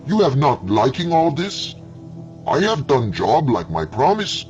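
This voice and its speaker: A man's voice: mid-range voice, huge pitch swings, measured pace, darkish tone, pitched above the performer's own voice. Alien exterminator, male, eager and insistent, broken English, raised and drawn out, read by a man; storybook. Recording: dull lo-fi with faint music.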